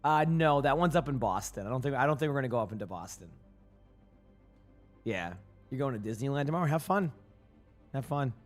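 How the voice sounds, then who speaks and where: Hoarse guy streaming, chatting with chat, rambles and restarts a lot, a bit of music in the back. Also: mid-range voice, animated, brisk, long pauses. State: hoarse, losing his voice. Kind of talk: casual chat with a live chat.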